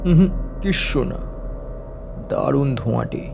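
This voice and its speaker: An actor playing a detective's bemused male companion, recounting a case as expressive scripted audio drama narration. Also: mid-range voice, a little slow, creaky, music in the background. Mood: puzzled. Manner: expressive storytelling.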